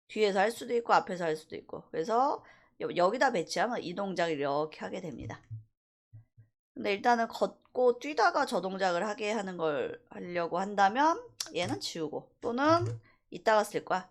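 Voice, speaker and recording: A woman instructor giving a casual, explanatory screen-recorded software tutorial, slipping between polite and plain speech. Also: medium pitch. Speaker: animation instructor.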